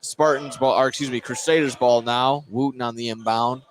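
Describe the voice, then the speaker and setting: A man's voice: medium pitch, steady tone, brisk. A man calling basketball play-by-play live, with a playful manner and frequent self-corrections. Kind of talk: live sports commentary. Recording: loud gym noise behind commentary. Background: gym noise, bouncing basketball.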